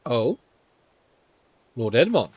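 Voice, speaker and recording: A man's voice: mid-range voice, very animated, slow pace, darkish tone, husky. A man streaming a game live, giving casual commentary and reactions.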